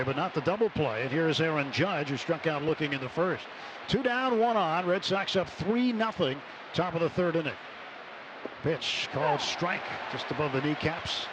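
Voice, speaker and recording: A man with a slightly high voice calls baseball play by play for radio. He is a live commentator working from a stadium booth, with clear background noise of the ballpark behind him. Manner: measured between plays, excited on big plays.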